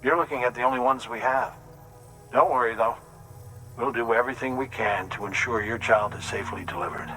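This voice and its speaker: An actor playing a reassuring, slightly flustered doctor in a video game, explaining earnestly with some hesitant starts. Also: male voice, mid-range voice, a little fast, sharp tone, soft background music, close to an everyday voice, rough recording. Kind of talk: dramatic video game acting.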